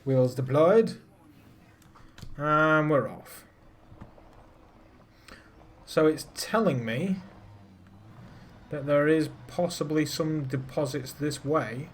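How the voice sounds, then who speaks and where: A man's voice: a little high, very slow, long pauses. A man giving casual, self-deprecating live commentary to his viewers while playing a space game. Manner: chats to viewers while piloting. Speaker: streamer.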